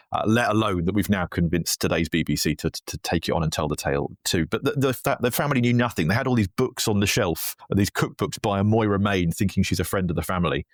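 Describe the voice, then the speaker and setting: Low-voiced man, chatty and digressive. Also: dry recording, clean studio sound. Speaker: writer and radio historian, podcast guest. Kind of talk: conversational storytelling in an interview.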